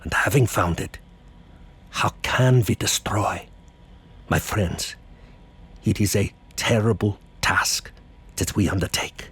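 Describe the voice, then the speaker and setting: An actor playing a grave older professor who hunts vampires, speaking in solemn, deliberate oratory in a horror audio drama. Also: male voice, lowish voice, a bit bright, husky, exaggerated voice. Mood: grave, urgent. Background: music.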